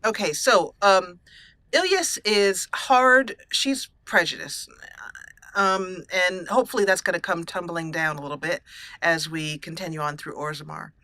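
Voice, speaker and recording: A woman's voice: fairly low pitch, measured pace, pushed and bright, no room sound. A woman hosting a let's play, giving casual storytelling commentary on her roleplayed game character.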